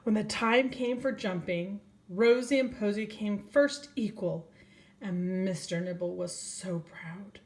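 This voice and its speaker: A woman's voice: mid-range voice, a little mellow, a little hiss or hum. An older woman, a grandmother, reading a children's storybook aloud and speaking directly to young children. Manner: slow, addressing young children, asking them questions.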